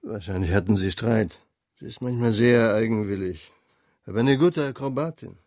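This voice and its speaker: Low-voiced, gossipy innkeeper, played by a man. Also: moderate pace, a little mellow, dry recording, studio quality, close to an everyday voice. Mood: chatty, informative. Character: chatty, obliging tavern keeper. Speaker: actor playing an innkeeper. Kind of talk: German radio crime drama acting.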